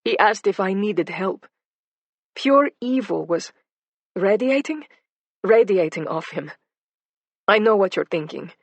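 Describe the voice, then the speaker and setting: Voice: medium pitch, lively intonation, takes it slowly, long pauses, dry recording, voice pushed up. A narrator voicing a fearful but determined Bosnian woman witness with a heavy Bosnian accent. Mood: earnest, anxious.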